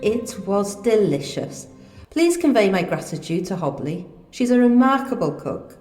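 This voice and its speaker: A woman's voice: medium pitch, sing-song, normal speed, a little mellow, soft background music, close to an everyday voice. A woman playing an earnest, idealistic young princess, polite and well-spoken, in a radio play. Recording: echoey stone hall reverb.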